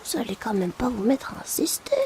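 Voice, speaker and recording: Woman acting a soft, crackly-voiced potion courier. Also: fairly high pitch, expressive, a little fast. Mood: friendly, playful. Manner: hesitant, polite, confiding. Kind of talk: whispered ASMR roleplay.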